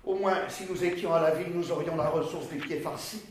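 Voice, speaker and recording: A man plays a small-town pharmacist from a storybook, fussy and self-important, with learned wording. The voice is fairly high. The room echoes heavily. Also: steady tone, brisk, natural voice. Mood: flustered apology. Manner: pompous, apologetic, learned wording. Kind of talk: dramatic reading of a novel. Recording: very echoey room with faint noise.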